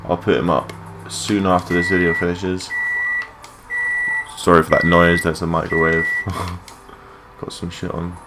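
A young man with a low voice giving rambling, matey, sweary gameplay commentary in a casual unscripted way. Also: flat delivery, unhurried. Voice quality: low-pitched voice. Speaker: gaming video creator. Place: his room at home. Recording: clearly noisy home mic recording.

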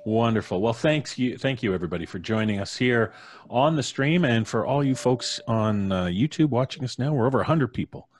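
A man's voice: mid-range voice, lively intonation, a little fast. A middle-aged man casually hosting a live podcast stream, speaking into a studio microphone indoors. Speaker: podcast host. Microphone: studio microphone on a boom arm.

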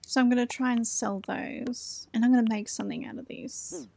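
Chatty woman in her forties, gravelly. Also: a little high, steady tone, normal speed, dark tone, slight background noise. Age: 40s. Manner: chatty, self-deprecating, thinking aloud. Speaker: let's play host. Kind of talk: casual gameplay commentary.

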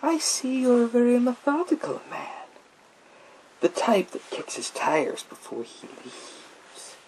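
A man playing a menacing, theatrical psychic warrior in a breathy whisper for ASMR roleplay, speaking slowly through a gas mask. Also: very high-pitched, heavily acted, poor audio. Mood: smug, menacing. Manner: slow, drawn-out, theatrical delivery. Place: small room lined with bookshelves. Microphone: speaking through a gas mask, close to camera.

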